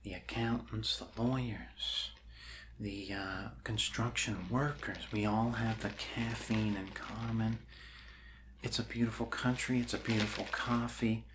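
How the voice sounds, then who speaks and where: A man with an American accent playing a friendly, rambling doctor in a soft-spoken ASMR roleplay, halting, reassuring and dryly jokey. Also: on the low side, fairly even intonation, background noise, natural voice. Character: friendly, rambling human doctor welcoming an alien to Earth.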